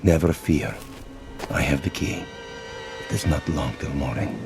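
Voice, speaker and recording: A very deep-voiced, solemn monk. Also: male voice, a little slow. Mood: ominous calm. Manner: solemn, formal, unyielding. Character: stern male monk jailer, solemn and falsely soothing. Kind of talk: radio drama acting. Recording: music bed under the voice.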